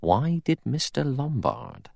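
A man's voice: sits low, very animated, normal speed, no room sound. Man as ex-police inspector, blunt, suspicious, colloquial, plain-spoken, dry studio sound, storybook character. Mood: suspicious, probing.

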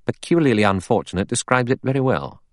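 A man's voice: fairly low pitch, normal speed, dry recording, clean studio sound, close to an everyday voice. A man voicing a shrewd, grim adventurer in a storybook reading, with an emphatic, dramatic manner. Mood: dry.

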